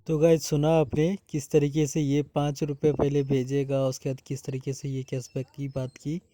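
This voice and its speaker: A man's voice: medium pitch, completely flat delivery, moderate pace, mellow, dry recording. An Indian man explaining informally to his viewers, speaking earnestly and repeating his points as a vlogger. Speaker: vlogger exposing a scam.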